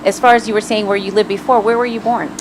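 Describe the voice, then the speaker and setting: A woman's voice: mid-range voice, steady tone, quick pace, noisy background. A woman hosting an interview, asking questions and backing her guest with frequent affirmations; English is not her first language. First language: French. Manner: encouraging, asks questions, many affirmations. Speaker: host, interviewer. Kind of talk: casual interview.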